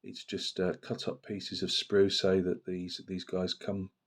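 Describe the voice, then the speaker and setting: Hoarse, deep-voiced man chatting about a hobby. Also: monotone, unhurried. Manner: rambling, many fillers and restarts. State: hoarse from a slight cold. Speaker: miniature painting channel host. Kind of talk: casual hobby talk to camera.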